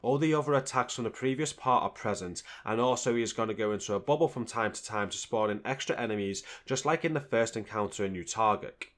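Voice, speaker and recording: A man's voice: on the low side, fast talker, few pauses. A man giving a scripted narration as the presenter of a video game guide.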